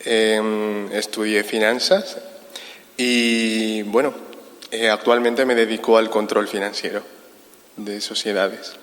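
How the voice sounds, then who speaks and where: A man in his twenties, a panel guest who speaks in a halting, soft-spoken way. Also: on the low side, level tone, slight background noise. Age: 20s. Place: church hall. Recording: long hall reverb.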